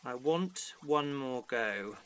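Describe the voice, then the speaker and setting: A man's voice: medium pitch, animated, takes it slowly, a bit bright. A man playing a stern, perfectionist science professor in an audio drama. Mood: insistent. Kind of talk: fan audio drama acting.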